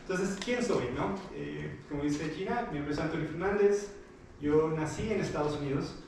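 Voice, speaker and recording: A man's voice: fairly high pitch, normal speed, vocal fry, darkish tone, recorded from a distance, slight background noise. A man in his forties from Guatemala, giving an informal talk to students, hesitant and friendly, with many fillers and restarts. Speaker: guest speaker. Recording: echoey hall, picked up from afar.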